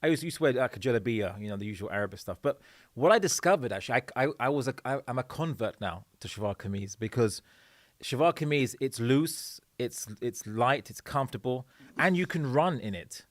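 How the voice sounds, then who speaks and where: A man's voice: mid-range voice, expressive, brisk, no echo, studio quality. A man hosting a conversational podcast interview, speaking hesitantly with many fillers and restarts. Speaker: podcast host.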